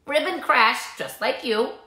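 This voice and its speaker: A woman's voice: high voice, measured pace, pushed and bright, roomy sound, pitched above the performer's own voice. A woman voicing a gossiping, boastful old green snake with a wry, knowing manner in a storybook. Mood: reminiscing. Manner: bragging, wry.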